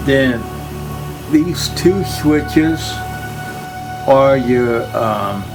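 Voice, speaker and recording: Older guy slowly talking thru his gear, stops and starts, music behind him, mid pitch. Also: lively intonation, low-quality recording. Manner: halting, plain-spoken, trailing phrases. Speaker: collector presenting his tape machines. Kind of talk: casual unscripted equipment showcase. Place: indoor room full of audio gear.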